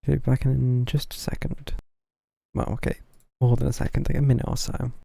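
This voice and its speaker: A man's voice: medium pitch, little pitch movement, moderate pace, gravelly, dark tone, breathy edge, no echo, clean studio sound. A man giving casual live commentary as he streams a game, muttering to himself and reading text aloud.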